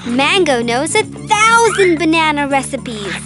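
Very high-pitched cheerful young girl. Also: animated, measured pace, over music. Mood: upbeat, confident. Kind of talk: children's cartoon acting.

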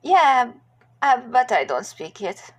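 Woman playing a timid young man, high pitch, lively, slow. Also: exaggerated voice. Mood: unsure. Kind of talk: amateur comic dub.

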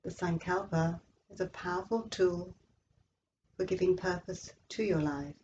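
Woman doing guided yoga meditation, lowish voice, slow n calming w long pauses, bit of hiss behind. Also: highs cut off. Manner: slow, measured, soothing delivery with long pauses. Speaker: yoga nidra instructor.

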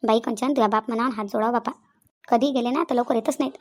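A woman's voice: on the high side, steady tone, fast talker, natural voice. A woman voicing a quarrelsome, nagging village wife and mother in a comedy cartoon, with a rural Vidarbha Marathi accent, scolding and repeating her complaints. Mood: scolding, aggrieved. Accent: rural Maharashtrian, Vidarbha.

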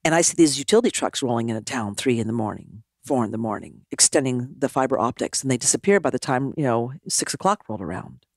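A woman, a former mayor, telling her story as a podcast guest in an animated way, often acting out what people said. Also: extremely low pitch, quick pace, few pauses, no echo, studio quality. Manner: animated, acts out quoted dialogue. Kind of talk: storytelling conversation.

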